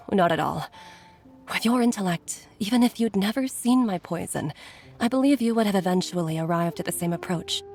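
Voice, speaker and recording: A woman's voice: on the low side, darkish tone. A woman voicing a caring middle-aged doctor and mother who speaks earnestly with frequent sighs. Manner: earnest, sighing, concerned.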